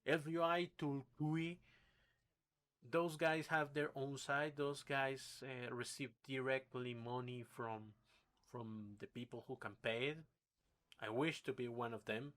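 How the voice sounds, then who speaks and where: A man with a Spanish accent and Spanish as his native language, delivering an unscripted, opinionated rant in a halting, repetitive, blunt and sweary way. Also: medium pitch, fairly bright tone. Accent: Spanish-speaking. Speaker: video creator.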